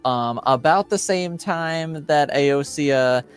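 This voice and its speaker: A woman improvising narration as a tabletop game master, halting and pausing between phrases. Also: deep voice, smooth, faint music behind.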